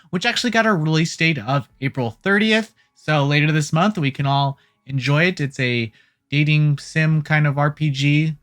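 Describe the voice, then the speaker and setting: A host delivering casual gaming news commentary in an irreverent, jokey way, swearing casually, with a medium-pitched voice. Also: a bit bright. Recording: music playing behind the voice. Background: background music.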